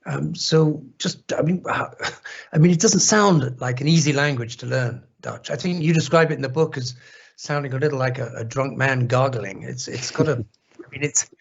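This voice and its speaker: A man's voice: mid-range voice, normal speed, gravelly, breathy edge. A man hosting an interview, asking long questions full of fillers and restarts. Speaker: interview host. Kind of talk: casual interview conversation. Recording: dull lo-fi audio.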